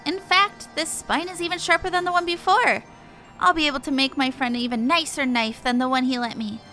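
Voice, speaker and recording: A woman's voice: sits high, steady tone, brisk, bright, forceful tone, soft background music. A woman voicing a forlorn little male moogle from a video game, in a plaintive, wordy manner. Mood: relieved. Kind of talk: reading game dialogue in character.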